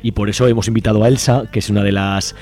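A man's voice: on the low side, steady tone, quick pace, vocal fry, a little mellow. A man with an accent from Spain hosting a live community radio interview. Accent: Spanish from Spain. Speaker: radio show host. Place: radio studio.

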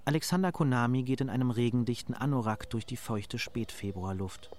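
Radio drama: man as wry literary narrator, male, medium pitch, measured delivery. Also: darkish tone, natural voice. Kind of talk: scripted narration.

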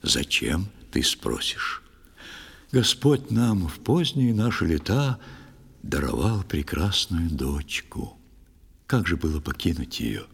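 An actor playing a sorrowful, pious old fisherman who tells his tale in expressive verse in an audio play. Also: sits low, slow, vocal fry, husky, heavily acted. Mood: warm recollection, troubled. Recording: long studio reverb over music.

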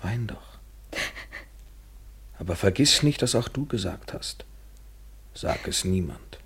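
An actor in a literary German radio play playing a brooding, bitter lover, intense and eloquent, swinging from hushed to vehement. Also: male voice, on the low side, animated, takes it slowly, husky, full character voice. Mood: subdued, brooding. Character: brooding, bitter lover, a man wrestling with himself.

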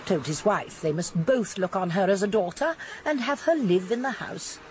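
Actress as elderly English spinster, shrewd, genteel, precise, expressive pitch, radio drama, faint background noise. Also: female voice, moderate pace, close to an everyday voice. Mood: shrewd, gossipy. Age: older. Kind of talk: British radio drama acting.

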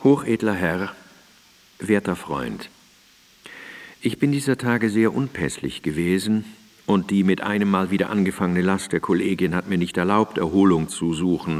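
An ailing, courteous scholar in a radio drama. The voice is on the low side and speaks in measured, formal, old-fashioned phrases. Long reverb surrounds it. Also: male voice. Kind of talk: radio drama letter reading. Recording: reverberant studio, digitized cassette radio play.

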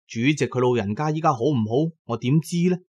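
A man's voice: mid-range voice, a little fast, husky, dull audio. A man with a Cantonese accent narrating a radio drama in the first person as a storyteller.

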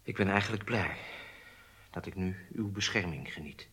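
Frightened captive spy, slightly deep tense voice, submissive man, hesitant delivery, radio drama. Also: bright, forceful tone, slightly rough, exaggerated voice. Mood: anxious, confessing. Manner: halting, submissive. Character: frightened, compliant captured German male spy. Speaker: prisoner under interrogation.

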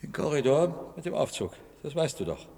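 An anguished radio-drama man, intense and halting, yearning for freedom yet frightened. His voice is very breathy and gravelly, and it swings widely between forceful outbursts and whispers. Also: a little high, very animated, no room sound, full character voice. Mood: coaxing, tense. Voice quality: husky, breathy, often dropping to whispers. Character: restless, anguished man longing to break free but afraid. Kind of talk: German radio play acting.

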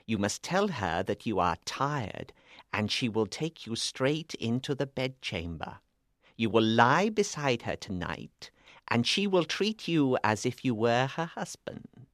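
A quiet old wizard, Merlin. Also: male voice, mid-range voice, very animated, no room sound, clean studio sound. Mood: calm, knowing. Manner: quiet, measured. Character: wise old male magician, calm and knowing. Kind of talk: storybook reading.

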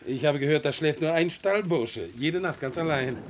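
A man playing a wheedling gentleman schemer. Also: on the high side, moderate pace, background noise, close to an everyday voice. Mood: wheedling, sly. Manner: wheedling, condescending. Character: smooth-talking, nervous gentleman stranger offering bribes. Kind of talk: German radio play acting.

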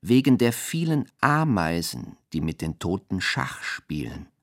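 Slow, low-voiced poetic reciter. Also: male voice, very animated, dry recording, clean studio sound, exaggerated voice. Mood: pensive. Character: man reciting surreal, dreamlike, melancholy poetry. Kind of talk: poetic radio drama recitation.

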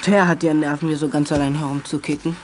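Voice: medium pitch, steady tone, fast, noisy background, natural voice. A performer playing an inquisitive, friendly child detective sidekick in a children's radio play. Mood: curious.

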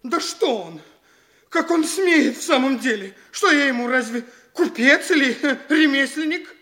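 Young male petty official, piping high voice, slow delivery, petulant, stammering, cowardly bluster, theatrical radio comedy. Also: lively intonation, bright, forceful tone, full character voice. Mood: indignant, frightened.

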